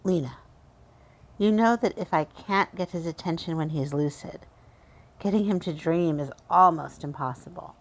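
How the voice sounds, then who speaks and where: A woman's voice: fairly low pitch, normal speed. A woman playing a vain, sulky seductive spirit in a radio horror drama, lamenting with a pout. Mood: frustrated.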